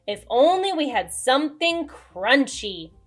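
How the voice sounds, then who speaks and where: A woman voicing a haughty, theatrical witch from a children's storybook, with drawn-out exclamations. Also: sits high, big pitch swings, pitched above the performer's own voice. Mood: savoring, musing. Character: haughty female witch, theatrical, pleased with her cauldron brew. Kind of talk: children's storybook character reading.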